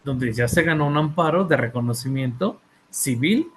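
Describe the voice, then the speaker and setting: A man's voice: medium pitch, expressive, moderate pace, a bit bright, a little hiss or hum. A man with a Mexican accent presenting his book in an academic talk, hesitating often with fillers and repeated words and checking in with his listeners. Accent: Mexican Spanish. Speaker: author and therapist presenting a book.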